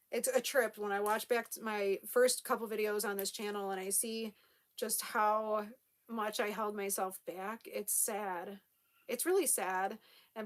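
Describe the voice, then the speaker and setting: Chatty woman with a slight lisp. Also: medium pitch. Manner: chatty, laughs often, many fillers and restarts. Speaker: vlogger reading viewer comments. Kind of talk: casual chat to camera.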